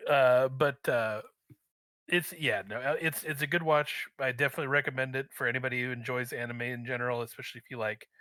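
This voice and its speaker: A man chatting casually as a podcast guest, joking and telling stories in an animated, playful way with mock voices. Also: medium pitch, fast talker, dry recording.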